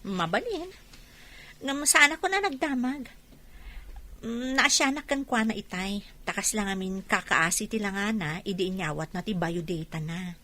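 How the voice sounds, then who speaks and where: A woman acting a businesslike but soft-hearted employer in an Ilocano radio drama. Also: medium pitch, expressive, moderate pace. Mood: thoughtful.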